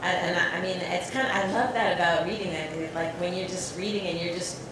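American woman on a panel, lower voice, chatty and animated, mic a bit far off, big echo and hum. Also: a little fast. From United States. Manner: casual, animated storytelling with many likes. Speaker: panel artist. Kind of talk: panel discussion answer. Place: large echoey hall with a live audience. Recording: echoey hall, mic held far off, hum.

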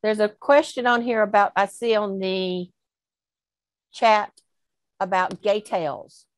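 A woman's voice: medium pitch, steady tone, slow pace, no echo. A woman on a video call panel, talking casually and thinking aloud with rhetorical questions about dog breeding.

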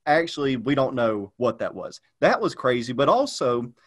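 A man's voice: mid-range voice, big pitch swings, normal speed, slightly rough. A man chatting casually on a podcast, rambling with lots of fillers and restarts. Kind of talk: casual chat.

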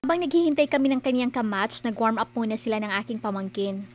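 A woman's voice: a little high, lively intonation, fast talker, a little hiss or hum. A middle-aged woman, narrating her own vlog in a chatty, earnest, encouraging voice-over. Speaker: vlogger.

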